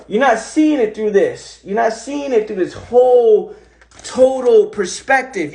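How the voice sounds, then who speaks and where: A man hosting a casual, animated motivational talk on a phone livestream. He repeats himself often and swears casually. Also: high voice. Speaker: host of an Instagram live.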